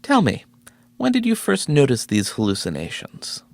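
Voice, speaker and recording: A man's voice: medium pitch, huge pitch swings, moderate pace, creaky, no echo. An actor playing a guarded, sceptical male research scientist in a video game, clipped and dryly sarcastic.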